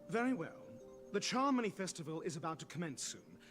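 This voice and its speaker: Game role: condescending male academic, pompous and formal, higher-set voice with swooping intonation. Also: big pitch swings, brisk. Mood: lecturing. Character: haughty, intellectual male scholar who lectures everyone. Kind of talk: video game voice acting. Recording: music playing behind.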